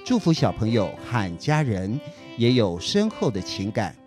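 A man's voice: lowish voice, very animated, unhurried. A man presenting a scripted closing narration for young listeners. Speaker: programme presenter, school principal. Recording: guitar music behind the voice.

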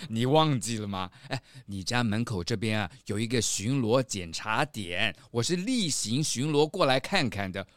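A man's voice: mid-range voice, animated, moderate pace, few pauses, a bit bright, no room sound, studio quality, close to an everyday voice. Man playing a jovial, swooping cartoon patrolman. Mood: affable. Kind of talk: children's audio drama acting.

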